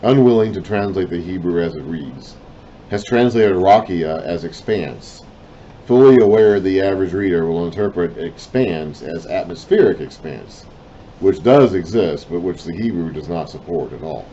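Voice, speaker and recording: A man reading and explaining a Bible lesson in a deliberate way, his voice trailing off at the ends of phrases, with self-conscious apologetic asides. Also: sits low, animated, mellow, dull audio, a little hiss or hum. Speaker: Bible teacher.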